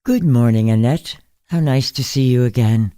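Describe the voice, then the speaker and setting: A woman reading the part of an ancient, frail, blind woman in an audiobook story, measured, polite and composed. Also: very deep voice, warm tone, no echo, studio quality.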